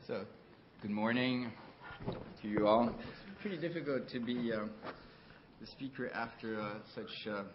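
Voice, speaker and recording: A surgeon from Switzerland speaks from a podium in a large conference hall, relaxed and chatty, full of small fillers. The sound is dull and slightly muffled, with a faint hiss behind him. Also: male voice, medium pitch, unhurried. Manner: conversational, many fillers and restarts. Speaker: orthopedic surgeon. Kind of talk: conference talk with slides. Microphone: podium microphone.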